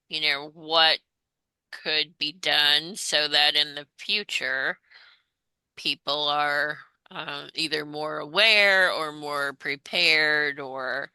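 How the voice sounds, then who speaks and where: A woman interviewer putting questions in a measured, slightly hesitant way. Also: sits low, pushed and bright, clean tone, dry recording, rough recording.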